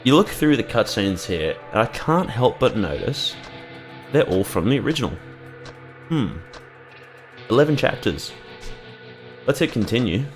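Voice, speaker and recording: A man's voice: medium pitch, very animated, unhurried, pauses often, soft background music. A man streaming a game, talking casually and rambling, with sarcastic jokes between his comments. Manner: joking, rambling, sarcastic asides. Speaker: gaming streamer.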